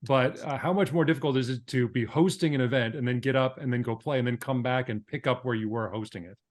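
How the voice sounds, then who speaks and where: Fast-talking man hosting a podcast. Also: mid-range voice. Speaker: podcast host and interviewer. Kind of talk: casual interview chat.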